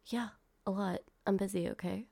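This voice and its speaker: Woman playing a guarded girl, husky and hushed. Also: mid-range voice, steady tone, normal speed, no echo. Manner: soft, hushed, half-whispered delivery. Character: guarded young woman confiding in her new driver. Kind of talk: one-sided audio roleplay addressing the listener.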